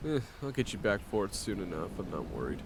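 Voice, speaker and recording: A man's voice: sits low, big pitch swings, fast, background noise. A man playing a grumpy, jaded vampire hunter in an audio roleplay, dry, curt and sardonic.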